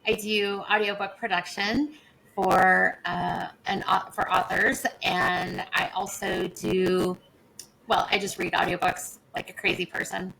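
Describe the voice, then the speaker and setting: A woman's voice: medium pitch, level tone, measured pace, creaky, pushed and bright, a little hiss or hum, low-quality recording. A tired woman co-hosting a podcast, chatty and teasing in casual talk. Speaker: podcast co-host.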